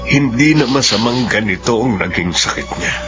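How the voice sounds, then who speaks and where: A Filipino man acting in a radio drama as a grave, compassionate uncle with a measured, sorrowful manner. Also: mid-range voice, animated, muffled recording, lightly acted. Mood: sorrowful, reflective. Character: solemn, compassionate older uncle, a family confidant. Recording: band-limited radio drama audio under music.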